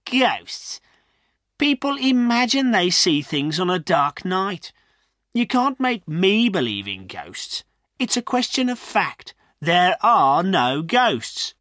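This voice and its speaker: A man's voice: on the high side, animated, a little slow, pushed and bright, no room sound, clean studio sound, pitched above the performer's own voice. A man voicing a blunt, scornful village farrier in a storybook, stating things dogmatically. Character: scornful, matter-of-fact village farrier, male.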